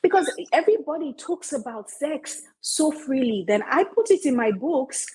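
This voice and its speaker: A woman's voice: high-pitched, expressive, moderate pace, mellow, poor audio. A Nigerian woman with a Nigerian accent, an author speaking playfully and emphatically in a podcast interview. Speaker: author guest.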